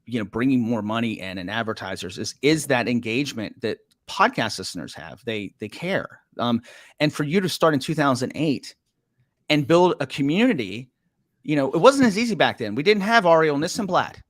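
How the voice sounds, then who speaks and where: A middle-aged man hosts a podcast interview in a dry, close-up sound. His voice sits at a middle pitch, and he asks long rambling questions full of restarts. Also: sing-song, moderate pace, no echo. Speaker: podcast host. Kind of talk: casual interview talk.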